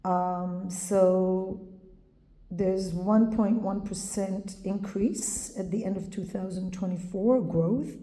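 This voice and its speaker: A woman with an Israeli accent, talking casually to camera with many pauses and ums. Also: mid-range voice, fairly even intonation, mellow. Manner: slow, hesitant, many ums. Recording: echoey room with long reverb.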